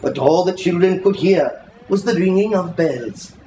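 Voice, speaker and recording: A man giving an expressive, theatrical reading of a literary story at a live bookshop event, savouring the words. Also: sits high, unhurried, roomy sound, a little hiss or hum, rough recording. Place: bookshop, indoors.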